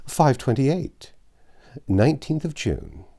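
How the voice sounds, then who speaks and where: A man's voice: mid-range voice, very animated, slow pace, gravelly, dark tone, pitched above the performer's own voice, heavily acted. A man voicing an airy, cheerful and self-satisfied teenage page boy from a storybook, with a blithe, matter-of-fact manner.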